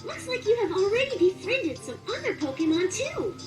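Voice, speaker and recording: A woman's voice: extremely high pitch, sing-song, moderate pace, over music, voice pushed up. A woman voicing a cheerful, encouraging host of a children's monster game app. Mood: bright, encouraging. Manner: encouraging, bubbly.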